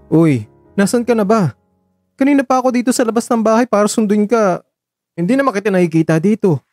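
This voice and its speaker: A man's voice: sits high, lively intonation, fairly quick, a little mellow, dry recording, faint music behind. A man with a Filipino accent acting a teasing, playfully flustered young host on a date in a conversational radio drama. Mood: impatient, flustered.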